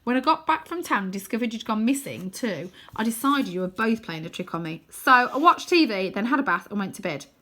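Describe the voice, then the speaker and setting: Woman voicing a bossy, bright-voiced stubborn girl. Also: fairly high pitch, expressive, fairly quick, few pauses, pitched above the performer's own voice. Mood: indignant, defensive. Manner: firm, sceptical, exasperated. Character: stubborn, bossy, scornful girl, a twin sister.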